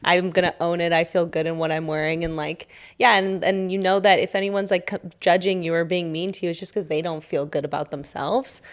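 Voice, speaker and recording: A woman's voice: lowish voice, little pitch movement, a little fast, hardly any pauses. A young woman hosting a podcast, chatty and agreeable, with frequent fillers. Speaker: podcast host. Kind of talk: casual interview chat.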